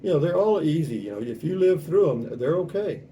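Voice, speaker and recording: Texan male, 70s, wry folksy vlog host. Very soft-edged, warm tone, casual delivery, distant mic with slight noise. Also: on the high side, sing-song, brisk. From South Texas, United States. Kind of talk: casual chat, reading out and answering viewer comments.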